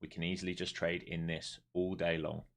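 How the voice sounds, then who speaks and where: A man's voice: deep voice, completely flat delivery, brisk, vocal fry. A man, chatting casually and bluntly while trading live on stream. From Europe. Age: 40s. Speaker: livestream day trader. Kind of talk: live market commentary and chat.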